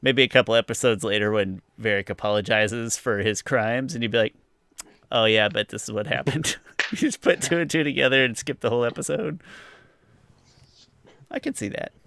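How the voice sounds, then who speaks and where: A man's voice: medium pitch, fairly quick, creaky, fairly bright tone, no echo, studio quality. A man hosting a podcast, chatting casually through a review in a rambling, hedging way. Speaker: podcast host.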